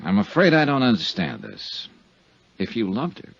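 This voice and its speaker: Husky, dogged American radio investigator. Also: male voice, fairly low pitch, huge pitch swings, moderate pace, exaggerated voice. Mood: skeptical. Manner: probing, clipped, skeptical questioning. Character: dogged, blunt male insurance investigator. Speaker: radio drama lead actor. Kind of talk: 1950s radio acting. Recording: muffled 1950s radio broadcast recording.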